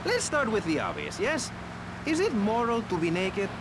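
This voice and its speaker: An excitable radio panel host with a high, swooping voice. He moderates a satirical show with flippant, theatrical politeness, over loud traffic noise. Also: normal speed. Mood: chatty, presenting. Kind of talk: satirical public radio hosting.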